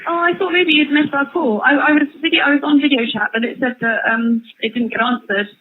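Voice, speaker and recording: A woman's voice: high voice, fairly even intonation, fairly quick, noisy background. A woman chatting casually and a little tentatively as an interviewer on a thin, tinny phone call. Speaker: media interviewer calling an inmate. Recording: tinny, band-limited phone line.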